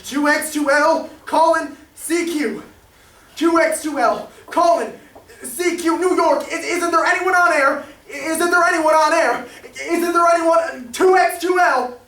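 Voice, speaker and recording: Woman playing an urgent high-pitched radio drama character. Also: unhurried, a bit bright. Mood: desperate calling. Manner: insistent, repetitive, emphatic. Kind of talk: 1930s-style radio drama acting. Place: live theatre stage. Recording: echoey stage, distant microphone.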